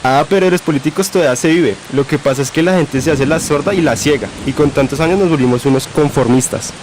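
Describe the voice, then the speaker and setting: A man's voice: fairly high pitch, fast, noisy background, lightly acted. A man playing a cynical, curious young in an amateur radio drama.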